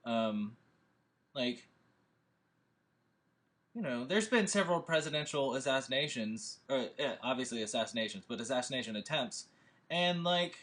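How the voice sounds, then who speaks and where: A man speaking informally to an audience as a host and writer, in a rambling, animated and emphatic way. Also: mid-range voice. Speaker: host and scriptwriter introducing a table read.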